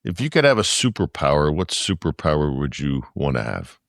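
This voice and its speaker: A middle-aged man co-hosting a podcast, asking brisk interview questions. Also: rumbling low, sing-song, vocal fry, no echo, studio quality. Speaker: podcast co-host. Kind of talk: interview, lightning-round questions.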